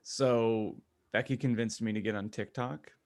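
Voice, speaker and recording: A man co-hosting a podcast in casual comedic chat, talking in a jokey, halting way. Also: lowish voice, fairly even intonation, a little mellow. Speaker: podcast co-host.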